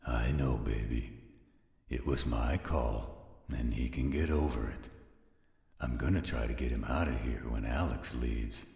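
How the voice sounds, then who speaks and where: Man as a protective werewolf, extremely low husky voice, slow blunt delivery, defiant, audio drama. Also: mellow, no echo, studio quality, exaggerated voice. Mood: reassuring, stubborn. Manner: slow, blunt, coarse-spoken. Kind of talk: audio roleplay drama acting.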